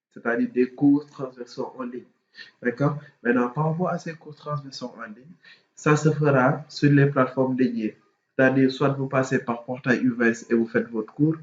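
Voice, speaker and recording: A man talks students through procedures, his voice stuffy from a cold. He speaks in a halting way, with lots of fillers and restarts. The recording is dull and lo-fi, with a roomy echo. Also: medium pitch. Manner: hesitant, many fillers and repetitions. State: has a cold, congested voice. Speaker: student mentor. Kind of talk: informal explanatory video.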